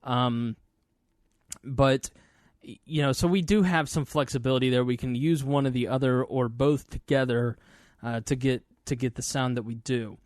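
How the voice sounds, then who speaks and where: A man's voice: mid-range voice, fairly even intonation, moderate pace, darkish tone, no echo. A man hosting a podcast, talking casually about his recording gear, chatty and informal with plenty of fillers, into a studio microphone. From Indiana, US. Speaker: podcast host.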